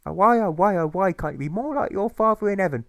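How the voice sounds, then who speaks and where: A man's voice: high voice, expressive, fast, creaky, no room sound, studio quality, voice pushed up. A man voicing an overwrought, nagging mother, histrionic and repeating phrases in wails, in a storybook reading. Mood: exasperated, wailing. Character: shrill, overwrought, nagging mother, fussing and guilt-tripping. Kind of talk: theatrical rhyming audiobook.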